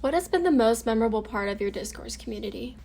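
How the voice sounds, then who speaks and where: Young female student presenter, native Cantonese speaker, rapid scripted delivery with vocal fry. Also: a little high, expressive, a little mellow. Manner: scripted, polite questioning.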